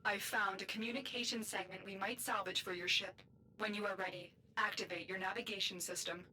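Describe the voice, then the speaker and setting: Woman playing a composed, bright-voiced game guide. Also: mid-range voice, steady tone, a little hiss or hum, lightly acted. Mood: resolute, guiding. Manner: measured, formal, instructive. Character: composed mysterious female guide, grave and purposeful. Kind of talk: video game character acting.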